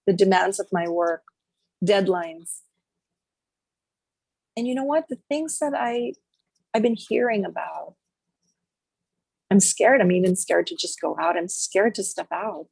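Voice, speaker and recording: A woman leading a guided healing meditation, speaking slowly and softly in short repeated phrases with long pauses. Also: medium pitch, expressive, creaky, breathy edge, dry recording, low-quality recording. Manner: slow, soft, repetitive phrases with long pauses.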